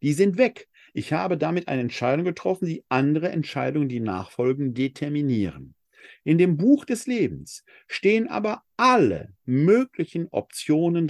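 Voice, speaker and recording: A man from Essen giving a Bible lecture, reading scripture slowly and with weight before explaining it reflectively. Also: a little high, no echo, clean studio sound. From Germany (Essen). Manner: reads scripture passages slowly and with emphasis, then explains in a reflective tone. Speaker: Bible teacher.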